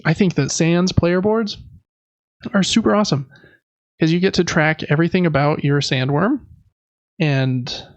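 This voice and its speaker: A man's voice: on the high side, normal speed, long pauses, dry recording, dull audio. A man co-hosting a casual podcast, describing board games in an informal, hesitant, self-deprecating way. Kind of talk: casual show-and-tell chat about board games.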